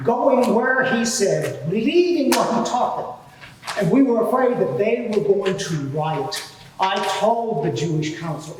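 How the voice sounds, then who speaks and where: A man playing a haughty, evasive high priest on the witness stand in a live courtroom drama, speaking formally and emphatically in his own defence. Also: slight background noise. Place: large reverberant courtroom, live event. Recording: very echoey hall, distant microphone.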